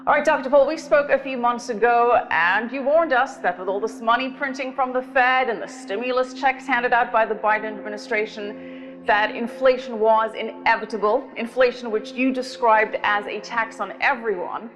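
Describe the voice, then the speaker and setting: A woman's voice: on the high side, normal speed. A woman interviewing a guest in a broadcast news style, putting formal questions. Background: background music.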